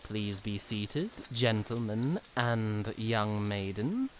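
A man's voice: fairly low pitch, lively intonation, slow, heavy background noise. A man playing a haughty, courtly young knight in a fan audio drama, with grandiose, archaic, theatrical delivery. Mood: grandly courteous.

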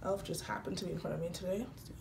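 A young woman with a lowish voice, a little stuffy from a cold, chatting easily as she works through her makeup. Her words ring around a small, echoey room, and a faint hiss sits underneath. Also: racing, creaky. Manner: chatty, relaxed, frequent fillers. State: slightly congested from a cold. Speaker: beauty vlogger. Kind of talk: casual makeup tutorial talk. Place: small indoor room, patterned wall with lights. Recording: roomy echo, faint background hiss.

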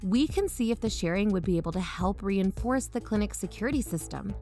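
An actress as a female co-host in an investigative podcast drama. She sounds practical and careful, medium in pitch, with music behind her. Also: animated, a little fast, dark tone, lightly acted. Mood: measured, concerned. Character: cautious, pragmatic female amateur investigator podcaster. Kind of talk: fictional podcast narration and dialogue.

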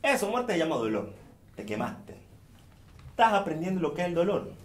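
A man voicing Epifanio, a kind old laborer speaking patiently and gently in a stage folktale. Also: a little high, very animated, normal speed, reverberant, voice pushed up. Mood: teasing gentle.

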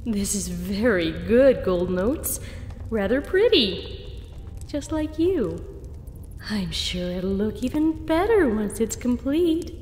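A woman voicing a sinister shapeshifting creature posing as a sweet schoolteacher mare, measured and sweetly menacing, in an audio fan-fiction drama. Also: mid-range voice, sing-song, darkish tone, slight background noise. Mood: saccharine. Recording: echoey hall reverb, music behind. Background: background music.

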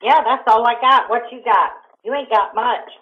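A woman's voice: fairly high pitch, normal speed, slight background noise. A woman with a Southern US accent talking casually on the phone in a defiant, goading way. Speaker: prank call target. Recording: muffled telephone call.

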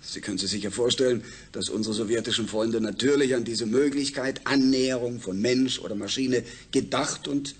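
A medium-pitched male voice of an actor playing a space project chief in a science fiction radio play. He lectures with formal assurance over faint ambient noise. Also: normal speed, few pauses, close to an everyday voice. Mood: assured, explanatory. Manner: formal, explanatory. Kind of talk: German science fiction radio drama acting.

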